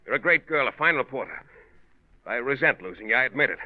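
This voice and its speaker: A male actor plays a jealous, bitter ex-husband in a 1940s radio drama. He is biting and sardonic, with very lively pitch. The recording is thin and narrow, like an old broadcast. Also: fast, close to an everyday voice. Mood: bitter, angry. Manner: biting, sarcastic.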